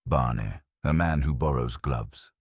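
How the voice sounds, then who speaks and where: A man's voice: extremely low pitch, measured pace, breathy edge, no echo, studio quality, heavily acted. A man voicing an aloof, cerebral detective in a mystery audiobook, speaking in formal, pompous pronouncements. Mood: pondering, certain.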